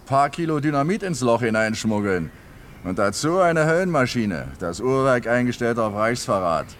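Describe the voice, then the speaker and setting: A bitter male plotter voiced by a man talks of destruction in a radio play. His mid-pitched voice is dry and sardonic, over faintly noisy ambience. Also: big pitch swings, normal speed, close to an everyday voice. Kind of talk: radio drama dialogue.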